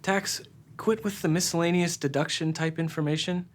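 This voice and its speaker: An actor playing a brisk, matter-of-fact male accountant who corrects and explains. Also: on the high side, fairly even intonation, close to an everyday voice.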